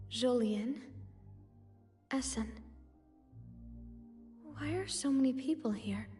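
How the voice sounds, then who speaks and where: Polite, musing young lady for a video game; high-pitched, unhurried, slightly hesitant, reverberant over music. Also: a little mellow.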